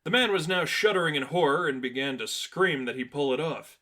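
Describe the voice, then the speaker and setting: A man's voice: a little high, lively intonation, fairly quick, bright, forceful tone. A man reading a scripted piece of fan fiction as a storyteller.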